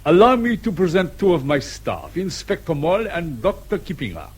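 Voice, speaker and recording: An actor playing a suave, sceptical police colonel in a radio drama, formal, precise and sarcastic. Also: male voice, sits high, very animated, normal speed, husky, exaggerated voice. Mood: courteous. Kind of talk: British radio drama acting.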